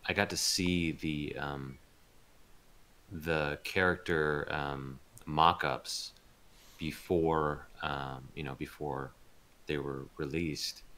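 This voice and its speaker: Deep-voiced, halting man in interview. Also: fairly even intonation, sharp tone. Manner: halting, thoughtful, searching for words. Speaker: voice actor being interviewed. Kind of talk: casual interview chat.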